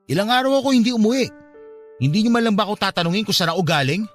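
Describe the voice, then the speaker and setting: A man's voice: high-pitched, expressive, racing. An actor playing an earnest, hurt son who longs for his father's love in a Filipino radio drama. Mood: hurt, pleading. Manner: polite, earnest, pleading. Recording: music behind the voice.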